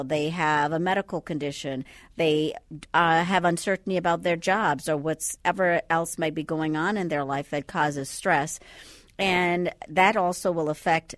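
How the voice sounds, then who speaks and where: A woman from the Bahamas, a sleep physician, calmly explaining things step by step as a guest in a radio studio. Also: on the low side, no echo. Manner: calm, patient, explains step by step. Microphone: studio microphone.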